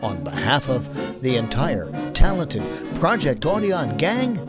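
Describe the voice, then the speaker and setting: American man, radio host, scripted credits, medium pitch, animated delivery, prominent music bed. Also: unhurried. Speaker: producer and host.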